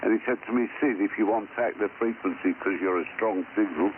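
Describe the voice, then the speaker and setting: A man's voice: fairly low pitch, brisk. A retired older man on an amateur radio net, talking in a rambling, hesitant way and spelling call signs phonetically. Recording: tinny shortwave radio with static.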